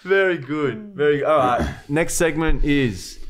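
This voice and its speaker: A man's voice: fairly high pitch, expressive, measured pace, slight background noise. A man in his thirties with an Australian accent, chatting casually and swearing as a comedy podcast co-host, close on a studio mic. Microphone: close boom-arm podcast mic.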